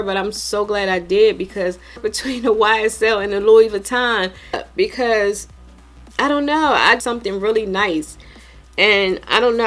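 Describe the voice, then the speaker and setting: A woman's voice: mid-range voice, fairly bright tone. A woman in her forties with an American accent, chatting casually and informally to viewers as a vlogger and repeating points for emphasis. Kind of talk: casual unboxing chat to viewers.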